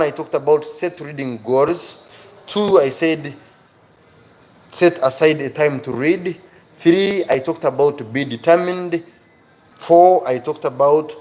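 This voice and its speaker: A man's voice: a little high, a little mellow. A man with an African accent giving a motivational live lesson to viewers as their teacher, earnest and emphatic, repeating key phrases.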